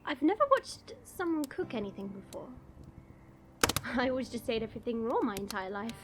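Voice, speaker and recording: A woman playing a haughty, quick-tempered young dragon princess turned human, imperious and formal, in an audio drama. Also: fairly high pitch, very animated, background noise. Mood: curious, matter-of-fact. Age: 20s.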